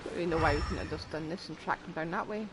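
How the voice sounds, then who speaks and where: A woman's voice: low-pitched, racing, heavy background noise. A woman streaming a game, giving casual commentary with whimsical, playful asides.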